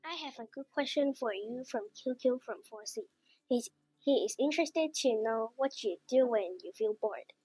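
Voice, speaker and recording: A young student reading out interview questions in a polite, scripted way. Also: female voice, a little high, moderate pace, mellow, slightly rough, no room sound, rough recording.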